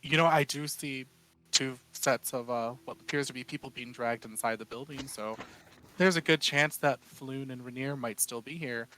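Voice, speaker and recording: A man's voice: mid-range voice, normal speed, sharp tone, low-quality recording. A man playing a tabletop role-playing game, casually describing a character's actions and thinking aloud.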